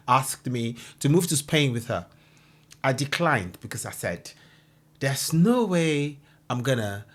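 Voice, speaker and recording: Opinionated man with lively pitch. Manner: opinionated, emphatic, rambling with fillers. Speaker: commentator reacting to clip. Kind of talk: reaction commentary.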